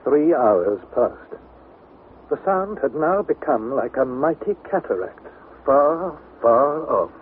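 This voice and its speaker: Earnest young explorer-narrator, dramatic and widely inflected, scripted radio drama, tinny hissy broadcast. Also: male voice, medium pitch, sing-song. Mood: uneasy. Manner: measured, dramatic storytelling. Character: earnest young male explorer recounting his expedition.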